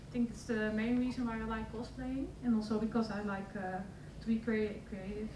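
A woman's voice: fairly high pitch, level tone, a little fast. Young Dutch woman, distant and echoey. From Netherlands. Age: young adult. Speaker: cosplayer on panel. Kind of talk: casual self-introduction. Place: conference room with audience. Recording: echoey room, distant mic, noisy.

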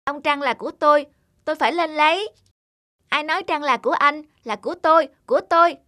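A woman voicing an excitable young child monkey in a children's cartoon, with a childlike, exclaiming delivery. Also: sits high, brisk, a little hiss or hum. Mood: indignant, squabbling. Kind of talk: animated children's fairy tale acting.

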